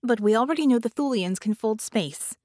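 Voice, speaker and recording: Woman acting an intense, urgent young woman, higher-pitched. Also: brisk, dry recording, clean studio sound, lightly acted. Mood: excited, urgent. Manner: excited, urgent, thinking out loud. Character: intense, quick-thinking young female magician hacker. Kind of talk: audiobook character voice.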